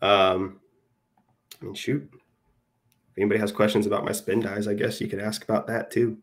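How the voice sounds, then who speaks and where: A man's voice: fairly low pitch, little pitch movement, moderate pace. A man in his thirties, a guest on a casual video call, talking in a rambling, self-deprecating way with lots of hesitant fillers. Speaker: guest dyer. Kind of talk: casual chat. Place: home room. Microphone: webcam on a video call.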